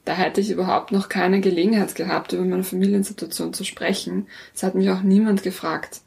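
A woman's voice: mid-range voice, steady tone, a little fast, creaky, a little mellow. A woman with an Austrian accent, an interview guest explaining things casually and often rephrasing herself. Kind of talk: casual reflective interview talk.

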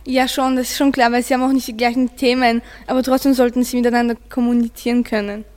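A woman's voice: fairly high pitch, level tone, fast talker, darkish tone, long reverb tail. A teenage girl, giving her opinion earnestly as a student guest. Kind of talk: earnest discussion.

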